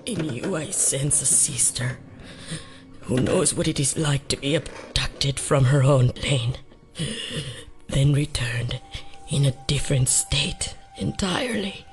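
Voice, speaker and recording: A woman's voice: extremely low pitch, slow, soft background music. A woman playing a solemn older village priestess, speaking cryptically and portentously in an audio drama. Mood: solemn, knowing. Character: solemn, mystical Cuban Santeria priestess mother. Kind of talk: immersive audio drama acting.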